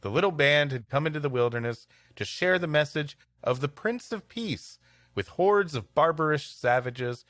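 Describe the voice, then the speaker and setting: A man's voice: a little high, expressive, normal speed, a bit bright, dry recording. A man co-hosting a comedy podcast, moving between reading an old-fashioned story aloud and light banter. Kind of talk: scripted story reading mixed with casual banter.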